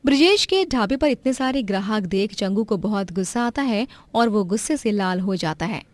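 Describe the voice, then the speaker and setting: A woman narrating a cartoon moral story in a clear, expressive way. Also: medium pitch, normal speed, dry recording.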